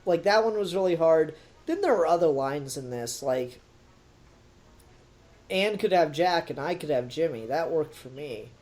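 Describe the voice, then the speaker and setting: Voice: medium pitch, expressive, a little slow, a little mellow. A podcast co-host giving a casual, blunt and emphatic critique in conversation. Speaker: podcast co-host reviewing an audio drama.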